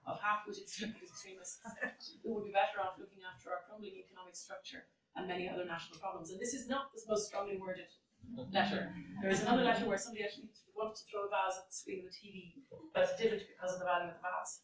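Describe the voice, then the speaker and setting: A woman's voice: medium pitch, steady tone, fast, far from the mic, a little hiss or hum. A woman giving a conference keynote from a lectern, reading old letters aloud with frequent fillers and restarts. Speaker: keynote speaker. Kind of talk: academic conference lecture. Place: large lecture hall, at a lectern. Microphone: lectern mic, filmed from a distance. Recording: echoey hall recorded from afar.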